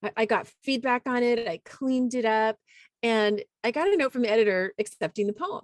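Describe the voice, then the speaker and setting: A woman's voice: fairly high pitch, a little fast. A woman poet and teacher telling anecdotes in an informal, casual way, acting out bits of dialogue. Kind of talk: casual anecdotal panel talk.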